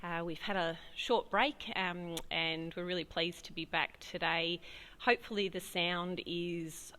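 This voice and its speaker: A woman's voice: lowish voice, fairly even intonation, unhurried, pushed and bright, a little hiss or hum, rough recording. A woman hosting a live community interview show, asking encouraging questions with many fillers. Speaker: host. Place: regional town in Australia.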